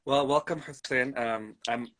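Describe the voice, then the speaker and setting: A man's voice: mid-range voice, unhurried, a bit bright, a little hiss or hum. A young man talking as an interview guest, chatty and full of filler words. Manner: chatty, lots of "like". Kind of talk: casual interview chat.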